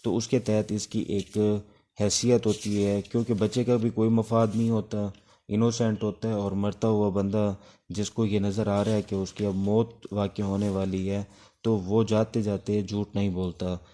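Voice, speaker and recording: Male Pakistani law teacher, low and soft-edged dark tone, explanatory, slightly halting delivery for an informal lecture. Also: flat delivery. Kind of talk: informal exam-prep lecture in Urdu mixed with English legal terms.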